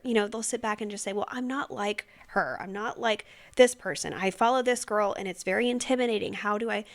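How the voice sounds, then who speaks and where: A woman's voice: on the high side, a bit bright. A woman co-hosting a podcast, speaking earnestly and affirming her partner often. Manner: earnest, affirming, quick to agree. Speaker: podcast co-host. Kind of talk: casual podcast conversation.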